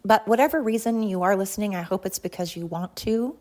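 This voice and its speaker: A woman's voice: medium pitch, brisk, darkish tone. A woman hosting a solo podcast in a chatty, rambling, self-deprecating and slangy way, talking into her phone. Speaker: podcast host. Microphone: talking into a phone while pacing.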